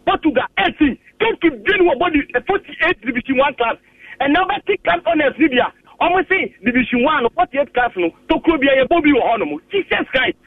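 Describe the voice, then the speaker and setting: A man's voice: very high-pitched, lively intonation, dry recording. Ghanaian man ranting over tinny phone. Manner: argumentative, halting, repeats himself, mixes Twi and English. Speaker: phone-in caller. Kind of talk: heated opinion on a radio call-in. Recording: dry tinny phone line, distant.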